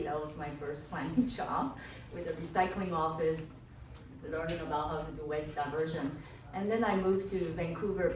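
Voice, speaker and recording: Low-voiced woman from Taiwan. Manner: plain, with fillers. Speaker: panelist. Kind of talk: panel talk. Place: crowded hall. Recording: echoey room, distant mic, noise.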